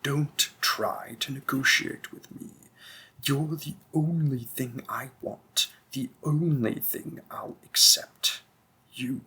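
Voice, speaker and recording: A man performs as a demon king in a romantic fantasy audio drama, possessive and devoted. His voice is bright and edged with gravel, often sinking into breathy whispers. He speaks slowly, savoring each phrase, with some background noise behind him. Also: mid-range voice, exaggerated voice. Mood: menacing, possessive. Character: menacing, possessive demon king, secretly a tender lover.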